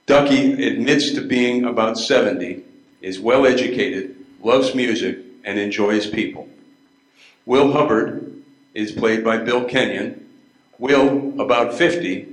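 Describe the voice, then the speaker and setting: An older man reading scripted narration as the narrator of a readers theater play. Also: mid-range voice. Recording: echoey room.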